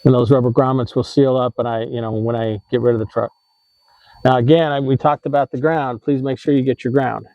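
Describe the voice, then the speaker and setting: A man's voice: mid-range voice, steady tone, brisk, no room sound, muffled recording. An American man hosting a how-to video, explaining in a casual, informal way with some hesitation and self-correction. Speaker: host of an RV tips video channel.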